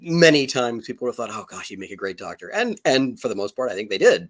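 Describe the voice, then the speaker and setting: Male panelist with a mid-range voice, conversational and animated, reworking his sentences as he goes. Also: mid-range voice, fast talker. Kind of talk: casual panel discussion.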